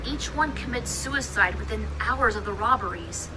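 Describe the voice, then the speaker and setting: A woman's voice: on the high side, animated, normal speed, noisy background, close to an everyday voice. A woman playing a young investigator in an audio drama, giving a serious, matter-of-fact briefing.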